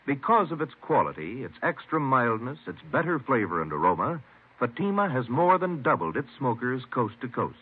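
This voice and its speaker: Animated, slightly husky male radio pitchman. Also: moderate pace. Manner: emphatic sales pitch. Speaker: commercial announcer. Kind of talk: 1950s radio ad read. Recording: tinny old radio recording.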